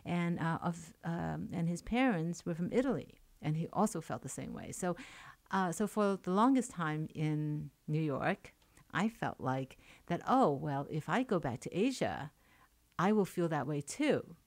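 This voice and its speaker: A woman's voice: deep voice, expressive, unhurried, a little mellow, no room sound. A woman from China whose first language is Chinese, a poet and professor speaking as a radio interview guest, thoughtful, with many fillers and restarts. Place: radio studio.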